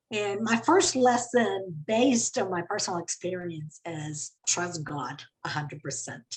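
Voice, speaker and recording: A woman speaks on a business panel, sitting a little low in her range. She talks earnestly and emphatically, pressing hard on key words with big swings in pitch and volume. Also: poor audio. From Colombia. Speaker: panelist, company CEO. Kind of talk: panel discussion talk.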